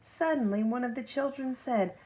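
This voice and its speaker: A woman reading a children's picture book aloud like a parent at bedtime, with expressive, deliberate phrasing and drawn-out key words. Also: on the high side, rough recording. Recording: home recording with faint background noise.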